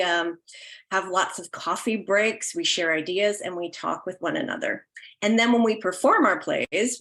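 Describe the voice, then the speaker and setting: A woman's voice: medium pitch, fairly bright tone. A woman with a Canadian accent giving an informal online presentation as a theatre director, in a friendly, welcoming way. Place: indoors, online video call.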